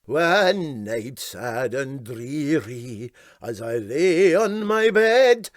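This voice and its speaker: Storybook skipper played by a man: Scottish Highlander, pompous and sentimental, slow, swooping, raised voice. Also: big pitch swings, no room sound, studio quality. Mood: grandly warbling. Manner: ponderous, self-important, hesitant.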